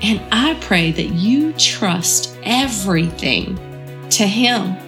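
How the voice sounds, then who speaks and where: A woman's voice: medium pitch, lively intonation, slow, fairly bright tone, faint music behind. A woman hosting a devotional podcast, earnest and encouraging, her voice a bit froggy from a cold. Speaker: podcast host.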